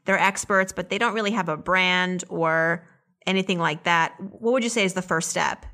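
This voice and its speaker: Bright-voiced woman hosting an interview. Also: fairly low pitch, moderate pace. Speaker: podcast host, interviewer. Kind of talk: conversational interviewing.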